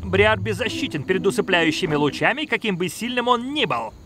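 An actor voicing a scheming, cocky young inventor villain in a cartoon dub, theatrical and smug. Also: male voice, high-pitched, very animated, brisk, crowd noise.

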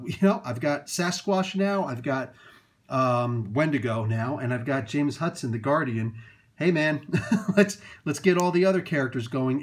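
A man's voice: medium pitch, husky. A man, off camera and filming toys with a handheld phone, rambling through a casual review with many fillers, restarts and chuckles. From Italian heritage. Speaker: toy reviewer. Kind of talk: casual unboxing commentary. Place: indoors at a table, off camera.

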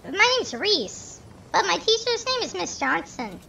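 Squeaker kid, naive and whiny, very high cracking voice-changer pitch, creaky edge. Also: male voice, sing-song, a little slow, sharp tone, muffled recording, a little hiss or hum, exaggerated voice. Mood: innocent. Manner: whiny, innocent, hesitant. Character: naive squeaky little fifth-grade boy gamer. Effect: pitch-raising voice changer. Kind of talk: in-character game voice chat.

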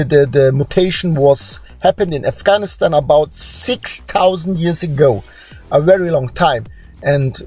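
Slow, halting man with a non-native English accent. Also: sits high, darkish tone. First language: not English. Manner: halting, searching for words. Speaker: host presenting DNA results. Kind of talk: informal screen-share commentary.

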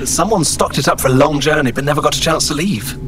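An actor playing a helpful, matter-of-fact male android in an audio drama, speaking in a measured, formal, understated way. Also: fairly high pitch, background noise, natural voice. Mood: instructing.

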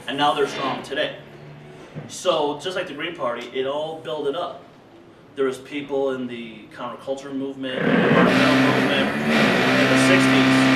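A man in his thirties from rural Illinois, speaking in an animated, loose conversational way at a workshop table in a meeting room. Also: mid-range voice. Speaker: invited speaker. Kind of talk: conference workshop talk. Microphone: far camera. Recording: echoey room from far off, noisy.

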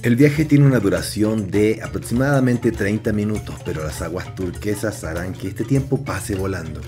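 A man reading a calm, informative scripted travel voice-over. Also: fairly low pitch, moderate pace. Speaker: narrator. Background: music.

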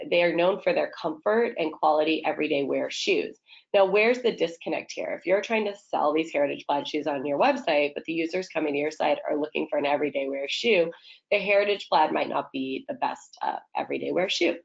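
A woman with a low voice and a slight gravelly fry teaches a marketing webinar. She talks conversationally, asks rhetorical questions and tags on "right?". The audio is a little dull and lo-fi. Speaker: marketing trainer. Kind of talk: webinar teaching over slides.

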